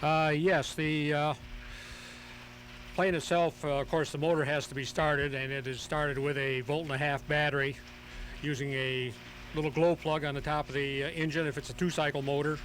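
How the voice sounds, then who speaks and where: A man being interviewed on radio as a hobbyist, explaining things step by step in a halting, methodical way. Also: a little high, flat delivery, a bit bright. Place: outdoors at a flying field. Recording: noisy home tape of outdoor radio broadcast.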